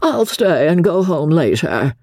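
A woman voicing a pompous, easily flustered storybook gentleman with a halting, blustery delivery. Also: low-pitched, very animated, no room sound, clean studio sound, voice pushed up, full character voice. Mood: superb, self-satisfied.

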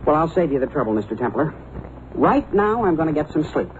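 A man's voice: a little high, sing-song, brisk. A man playing a bitter, defensive young jockey, curt and sarcastic, in an old radio drama. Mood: bitter, defiant. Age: young adult. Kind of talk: 1950s radio drama acting. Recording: muffled, hissy old radio recording.

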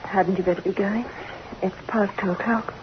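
An actress playing an anxious, impatient secret mistress in a radio horror drama. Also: female voice, mid-range voice, fairly even intonation, moderate pace, natural voice. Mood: uneasy, impatient. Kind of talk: 1960s radio horror acting. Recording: muffled, very noisy old radio recording.

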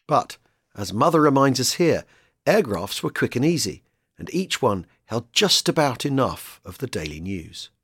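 A man with a slightly husky voice reading scripted documentary narration about history. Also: mid-range voice, very animated, moderate pace, a bit bright, dry recording, studio quality.

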